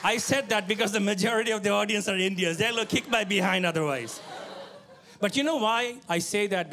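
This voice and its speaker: High lively comic from Bangladesh. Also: male voice. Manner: animated, does many put-on voices. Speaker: stand-up comedian. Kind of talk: stand-up comedy set. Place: comedy club stage with a live audience. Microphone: handheld stage mic.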